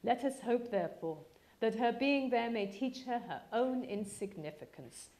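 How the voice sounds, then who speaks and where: Woman playing a wry, unruffled gentleman father. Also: a little high, expressive. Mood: wry, placating. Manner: dry, teasing, measured, throat-clearing.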